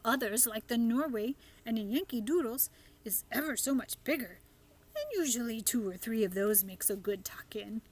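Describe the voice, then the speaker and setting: A woman's voice: a little high, animated, normal speed, darkish tone. A woman voicing a big friendly male giant in a storybook, with garbled folksy grammar and made-up words. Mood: explaining.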